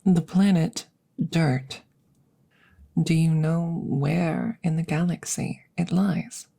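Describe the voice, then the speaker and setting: A low, very slow female alien played by a woman speaks in a soft, often whispered ASMR delivery. Her tone is mellow and breathy, with some gravel in it. She is formal and clinical, a curious examiner choosing each word with care. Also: full character voice. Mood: matter-of-fact, curious. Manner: formal, clinical, precise, measured phrasing. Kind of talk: ASMR audio roleplay.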